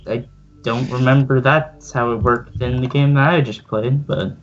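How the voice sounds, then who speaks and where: A man's voice: medium pitch, steady tone, moderate pace, soft background music. A man acting as game master, talking casually through a tabletop roleplaying game on a muffled mic. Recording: muffled mic with noise and clicks.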